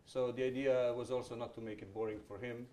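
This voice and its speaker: A man's voice: mid-range voice, little pitch movement, quick pace, dark tone, slight background noise. A man moderating an onstage conversation, chatty, with many fillers and false starts.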